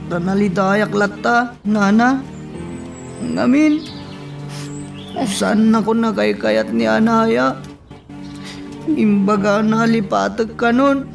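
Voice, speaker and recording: High-voiced, heartbroken young man. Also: gravelly, distant mic, heavily acted. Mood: relieved, sad. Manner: plaintive, emotional. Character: distraught young man in love, pleading with an older woman. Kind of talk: Ilocano radio drama acting.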